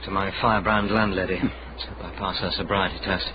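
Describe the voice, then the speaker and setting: An actor playing a curious, cautious gentleman newcomer in a radio drama, polite with a playful wit. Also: sits low, little pitch movement, brisk, lightly acted. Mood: cautious, dry. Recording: muffled, noisy radio drama recording.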